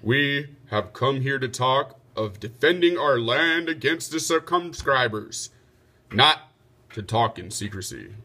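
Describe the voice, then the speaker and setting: Man reading as a stern king, bright and firm. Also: mid-range voice, lively intonation, unhurried, breathy edge, exaggerated voice. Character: King Arthur, a stern, dissatisfied monarch. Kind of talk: children's storybook read-aloud.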